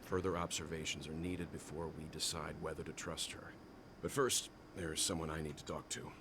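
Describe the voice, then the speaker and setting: An actor playing a calm, reserved, analytical man in a video game, speaking in measured, formal phrases. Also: a bass voice, background noise. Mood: resolute.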